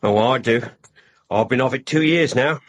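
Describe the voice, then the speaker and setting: An actor playing a gruff, chatty working-class Victorian stable groom in a radio drama, blunt and colloquial, with an English accent. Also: male voice, medium pitch, moderate pace, natural voice. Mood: chummy.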